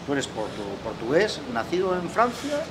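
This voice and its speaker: A man's voice: a little high, wildly swooping pitch, moderate pace. Middle-aged man interviewing outdoors. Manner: conversational, with frequent tag questions. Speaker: interviewer, club host. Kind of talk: casual interview. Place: outdoor courtyard with artificial grass. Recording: outdoor recording with clear background noise.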